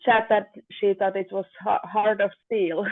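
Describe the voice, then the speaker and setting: A woman's voice: mid-range voice, fairly even intonation, a little slow, no echo. A woman calling in with a question, speaking hesitantly with stammers. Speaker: caller. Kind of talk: asking a question on a call. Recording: thin phone-like line.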